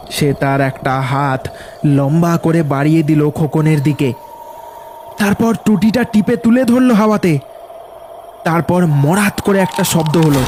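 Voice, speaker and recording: High-voiced man narrating horror. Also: background noise. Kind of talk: scripted dramatic horror story narration. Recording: loud music behind the voice.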